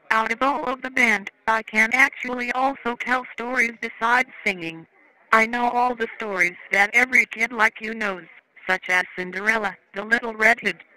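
Kids' show robot cat: cheery, friendly, very high-pitched, dry close sound. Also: male voice, sharp tone, dry recording, full character voice, low-quality recording. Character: cheery cartoon animatronic cat entertainer for children. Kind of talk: children's show stage patter.

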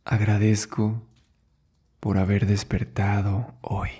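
Slow, low, husky man guiding meditation. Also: level tone, warm tone. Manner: soothing, unhurried, short phrases with long pauses. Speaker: yoga and meditation instructor, host. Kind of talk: guided meditation.

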